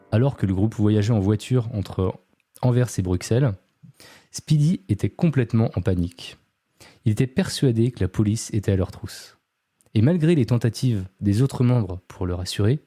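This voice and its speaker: Male podcast host, low and very warm, relaxed chatty crime storyteller. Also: no echo. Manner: relaxed, chatty, frequent fillers and asides to a co-host. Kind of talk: casual true-crime storytelling.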